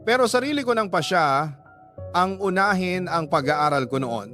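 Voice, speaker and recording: A young Filipino woman, played by an actress, tells her own love story for radio drama. She is conversational and confiding, reserved in character, with clear music under her voice. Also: lowish voice, expressive, moderate pace, close to an everyday voice. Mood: reflective. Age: young adult. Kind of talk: first-person radio drama narration.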